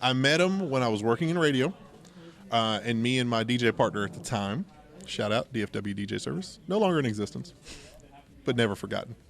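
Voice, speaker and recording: Middle-aged American male podcast host, mid-pitched, casual raconteur who wanders into asides. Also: animated, brisk, creaky, a bit bright. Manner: conversational, digressive, frequent asides. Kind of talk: casual storytelling and banter. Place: local game store.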